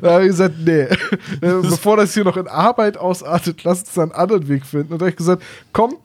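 A man with a somewhat high-pitched voice talks as a podcast co-host. His delivery is casual and conversational, with informal, joking turns of phrase. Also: fast talker, darkish tone. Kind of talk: casual chat.

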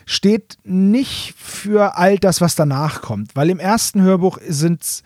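Male podcast co-host, fairly high voice, dry sound, loose colloquial explainer who corrects himself. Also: slow pace, a little mellow, dry recording. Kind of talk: casual chat retelling a story.

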